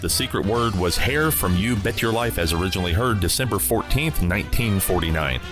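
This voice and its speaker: Podcast host, male, very low voice, scripted promo read with clear music underneath. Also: expressive, a little fast.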